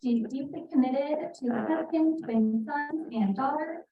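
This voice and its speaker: A woman's voice: high voice, a little slow. A woman host reading a formal introduction of a guest speaker. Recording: distant mic, roomy, dull, faint noise.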